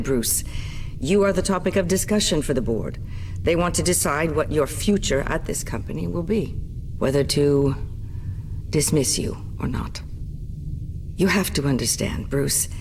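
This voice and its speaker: A woman's voice: low-pitched, moderate pace, darkish tone, a little hiss or hum. A composed middle-aged chairwoman from a video game. She speaks formally and firmly, with some reproach under the politeness. Faint ambience runs behind her voice. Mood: measured, firm. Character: poised middle-aged company chairwoman, conflicted but firm. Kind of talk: dramatic video game acting.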